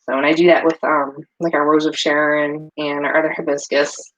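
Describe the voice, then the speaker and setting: Low-voiced chatty middle-aged woman. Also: flat delivery, brisk, rough recording. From New England. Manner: chatty and rambling, many fillers. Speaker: soap maker and vlogger. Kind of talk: casual chat while making soap. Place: home kitchen.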